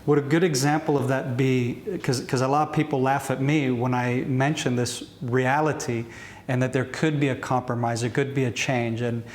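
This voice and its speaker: A man with an Israeli accent hosting an interview, whose speech is halting, with many restarts as he searches for words in English. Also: medium pitch, steady tone, roomy sound. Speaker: podcast host. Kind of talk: interview questions.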